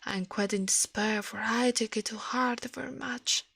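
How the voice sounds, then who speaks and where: A woman voicing a mild, earnest learned man in an ASMR storybook reading, gentle and kindly. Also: a little high, fairly even intonation, normal speed, creaky, slightly rough, exaggerated voice. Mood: despairing.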